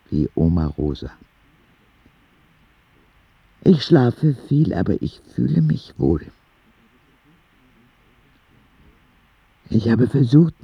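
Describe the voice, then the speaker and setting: A slow, expressive, dying young boy. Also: mid-range voice, vocal fry, slightly rough, no room sound, dull audio, full character voice. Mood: reflective. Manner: slow, reflective, with expressive swings. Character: dying ten-year-old boy writing candid, wondering letters to God. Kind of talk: intimate dramatic reading of letters.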